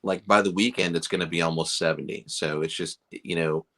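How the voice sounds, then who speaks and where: A middle-aged man from Chicago talks casually as a guest on a livestream. His voice sits very low and has a gravelly fry running through it. He rambles, pokes fun at himself and pads his sentences with fillers. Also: steady tone, fairly quick, a bit bright. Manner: rambling and self-deprecating, with many fillers. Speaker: beard company owner, guest.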